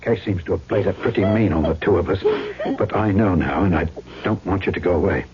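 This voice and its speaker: An actor playing a blunt, hot-tempered South Seas trader in a 1970s radio drama. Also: male voice, low-pitched, a little fast, a little hiss or hum, natural voice. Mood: tender, urgent. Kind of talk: 1970s radio drama acting with first-person narration. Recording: dull vintage radio recording with music.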